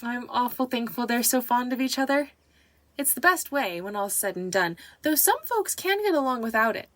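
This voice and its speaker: A woman playing an excitable, chatty fifteen-year-old country servant girl in an audiobook drama, gushing and deferential with folksy speech. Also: a little high, moderate pace, no echo, lightly acted. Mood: earnest, confiding.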